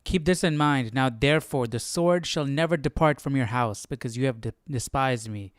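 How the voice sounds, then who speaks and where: A man's voice: medium pitch, normal speed, a little hiss or hum. A young man hosting a livestream study session, giving informal, slangy commentary as he muses aloud. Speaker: Bible study livestream host. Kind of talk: casual reaction commentary.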